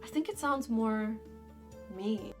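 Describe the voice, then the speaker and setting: A woman's voice: fairly high pitch, expressive, slow pace, soft background music. A woman playing a blunt, sardonic young female dragon in a fan fiction audio drama, brusque and casual in manner. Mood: wry, blunt.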